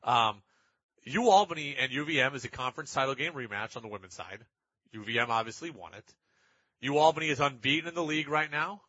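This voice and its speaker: Guy doing sports talk on radio, raspy bright voice, really leans on his points, kinda lo-fi audio. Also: mid-range voice, expressive, quick pace, no room sound. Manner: emphatic, repeats phrases for stress. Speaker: sports radio show host.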